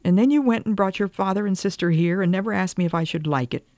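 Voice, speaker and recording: A woman's voice: sits low, lively intonation, moderate pace, darkish tone, dry recording, clean studio sound. A woman voicing a passionate, wounded young wife in an old-fashioned storybook, with emphatic, pleading delivery. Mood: tearful reproach.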